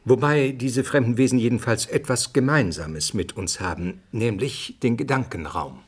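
An actor playing a thoughtful scientist who explains things deliberately in a radio drama. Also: male voice, mid-range voice, husky, natural voice. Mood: pondering, explanatory. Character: thoughtful male scientist musing on alien minds. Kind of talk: German radio drama acting.

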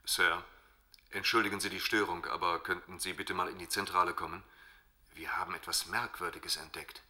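Businesslike starship crewman, lowish voice, reporting dutifully over clear noise, radio play. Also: steady tone, moderate pace, pushed and bright. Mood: polite. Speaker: actor. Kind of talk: German science-fiction radio drama acting.

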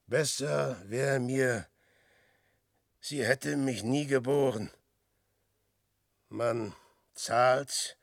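An actor in a classic German radio play, playing an aging, despairing wanderer who speaks slow, declamatory verse. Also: male voice, medium pitch, creaky, no echo, studio quality, full character voice. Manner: slow, declamatory verse, swinging from loud outbursts to hushed murmurs. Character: Peer, an aging despairing wanderer facing death.

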